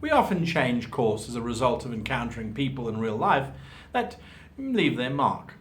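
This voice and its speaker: Man explaining with very lively pitch swings. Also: moderate pace, slight background noise. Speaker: host giving tabletop role-playing advice. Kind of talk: instructional monologue to viewers.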